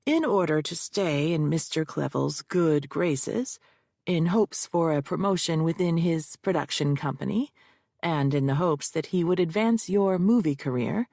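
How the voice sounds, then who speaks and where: A woman's voice: lowish voice, gravelly, a little mellow, dry recording, clean studio sound, exaggerated voice. A woman voicing a male defense lawyer, firing off cold, pointed questions in a cross-examination. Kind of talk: audiobook character reading.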